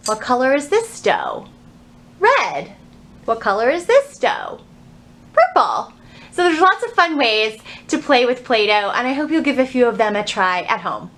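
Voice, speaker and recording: A woman's voice: high voice, a bit bright. A woman presenting a children's storytime, explaining things simply, asking young listeners questions and reading aloud.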